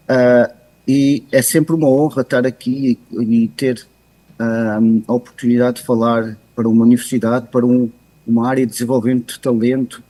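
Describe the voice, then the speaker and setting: A man with a European Portuguese accent, joining by video call to give a measured, hesitant expert presentation with many pauses. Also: mid-range voice, mellow. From continental Portugal. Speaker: guest speaker, cybersecurity expert. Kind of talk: presentation talk.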